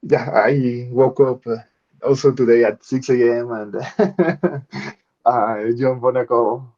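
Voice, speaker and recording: Casting: male freelancer, mid-pitched, informal update to his group, somewhat dull video-call sound. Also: slow pace, dark tone.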